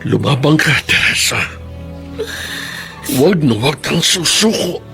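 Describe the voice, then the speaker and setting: Distressed older father, emphatic and pleading, very animated pitch, radio melodrama, music behind. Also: measured pace, creaky, heavily acted. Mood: tender, urging. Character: older, loving, distressed father urging strength. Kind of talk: melodramatic radio drama acting.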